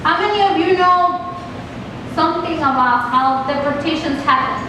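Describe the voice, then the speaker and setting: High-voiced woman with a Mexican accent. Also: normal speed, background noise. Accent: Mexican Spanish. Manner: asks the class questions, earnest and direct. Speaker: guest speaker to a class. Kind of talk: classroom presentation. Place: classroom. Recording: echoey classroom from far off.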